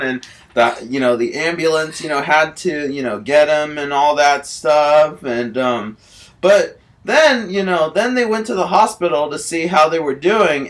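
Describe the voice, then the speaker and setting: Male amateur storyteller: bright, slightly high voice, rambling off-the-cuff comic tale, excitable and repetitive. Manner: excitable, giggly, repetitive filler phrases like "you know" and "all that stuff". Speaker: amateur storyteller in a homemade video.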